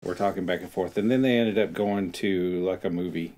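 A man's voice: deep voice, a little fast, darkish tone. A man co-hosting a podcast, retelling a book and reading from it aloud. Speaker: podcast co-host.

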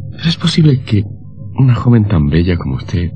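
A cheeky young newspaperman from a radio melodrama. His low voice swings with lively, jaunty intonation, charming and flattering, with soft music behind. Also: brisk, breathy edge, dull audio. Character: cheeky, charming young journalist and chorus singer. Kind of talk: old radio melodrama acting.

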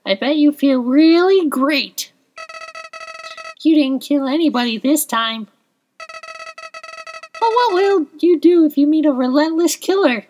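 A woman's voice: high-pitched, animated, darkish tone, voice pushed up. Lady doing an evil smug flower creature, taunting, drags it out, faint game music behind. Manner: mocking, drawn-out taunts.

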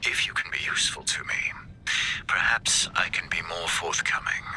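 An actor playing a grave, secretive scientist of the stars in a video game, speaking in a solemn, halting, formal way with a hushed, breathy voice. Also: male voice, low voice, soft background music, low-quality recording. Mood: guarded, formal. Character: grave, secretive cosmic scientist serving a champion. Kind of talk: video game audio log acting.

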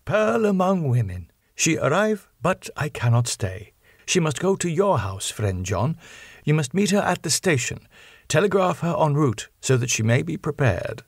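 A fervent old professor from abroad, acted out by a male audiobook reader, speaks in a raised pitch. He is solemn and halting, his English slightly broken, in a dry, close recording. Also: sing-song, husky, dry recording, heavily acted. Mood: delighted, urgent. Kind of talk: audiobook character voice.

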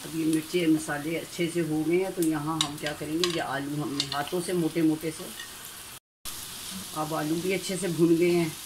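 A woman's voice: low voice, steady tone, normal speed, background noise. A middle-aged woman hosting a home cooking tutorial, talking viewers through each step in a chatty, homely way, recorded in her kitchen. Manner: chatty, homely, addresses viewers warmly as family. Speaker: cooking channel host. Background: frying and stirring sounds.

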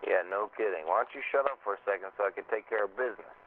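A man making a prank phone call in casual, halting speech, swearing at times and acting innocent. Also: lowish voice. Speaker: prank caller. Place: telephone conference bridge. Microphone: telephone. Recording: narrow noisy phone conference line.

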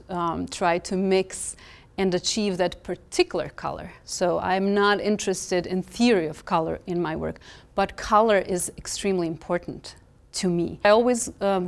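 A woman from Croatia speaks English with a Croatian accent, her voice clear and resonant at an ordinary pitch. She talks reflectively, with many fillers and soft pauses. She is an artist being interviewed in a gallery. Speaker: visual artist. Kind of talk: reflective interview talk about her art. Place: gallery, indoors, in front of artworks.

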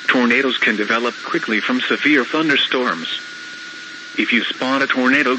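Synthetic male weather radio announcer, noisy. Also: mid-range voice. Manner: formal, measured, impersonal. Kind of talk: scripted weather bulletin, synthetic text-to-speech reading.

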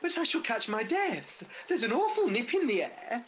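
A woman playing high, melodramatic Mother Goose. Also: sing-song, normal speed. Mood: nervous. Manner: exclaiming, melodramatic. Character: nervous, grumbling pantomime Mother Goose, gullible older woman. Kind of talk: radio pantomime acting.

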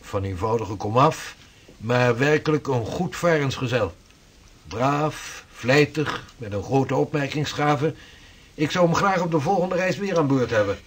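Husky, earnest old-world navigator. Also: male voice, mid-range voice, lively intonation. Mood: serious. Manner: formal, measured, archaic phrasing. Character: earnest male 16th-century Dutch navigator and mapmaker. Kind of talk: period radio drama acting.

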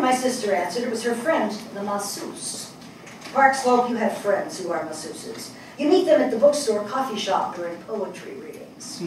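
An older woman on stage acting a reflective, wry middle-aged journalist from New York in a dramatic monologue. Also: a little high. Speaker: stage actress in a dramatic reading. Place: indoor small theatre stage. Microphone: far camera. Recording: echoey theatre, distant mic, faint noise.

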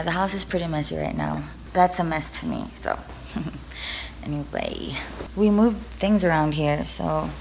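A young woman in her twenties chatting casually to a handheld camera on her couch at home, bubbly and relaxed. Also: sits low, gravelly, slight background noise, low-quality recording. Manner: bubbly, giggly, teasing. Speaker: vlogger. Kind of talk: casual vlog chat. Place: living room couch at home. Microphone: handheld camera at arm's length.

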